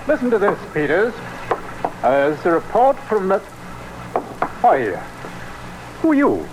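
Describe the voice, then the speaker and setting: A man in an old radio drama playing a brisk, kindly, excitable police inspector with a British accent. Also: high voice. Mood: startled, urgent. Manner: brisk, exclamatory. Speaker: actor in a radio thriller. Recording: old radio recording, some noise.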